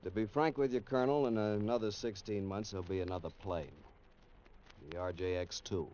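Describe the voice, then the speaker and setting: An actor playing a coolly pragmatic, pessimistic government official, measured and blunt, in old-time radio drama style. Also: male voice, fairly low pitch, steady tone, a little mellow, slight background noise, natural voice. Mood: coolly resigned. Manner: measured, blunt, matter-of-fact. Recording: dull vintage radio recording.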